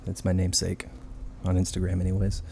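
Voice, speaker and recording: A young man from Texas talking in a low, unhurried register as he co-hosts a casual podcast. He rambles through a story with plenty of "uh" and "um" and drops swear words freely, in an easygoing, loose manner. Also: completely flat delivery, vocal fry, darkish tone, slightly rough. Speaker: podcast co-host. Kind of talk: casual chat.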